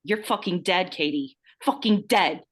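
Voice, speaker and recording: A woman's voice: on the low side, measured pace, husky. Woman acting a gloating, vengeful beauty-queen killer. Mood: furious. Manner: taunting, profane, theatrical outbursts. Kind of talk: live screenplay table read.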